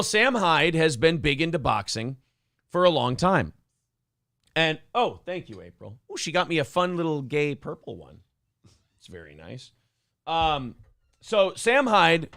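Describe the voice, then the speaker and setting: Morning-show host, man, gravelly and a touch high, dry-throated, profane, opinionated. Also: sharp tone, no echo. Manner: profane, opinionated, acts out quotes in raised voices. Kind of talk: casual talk-show banter.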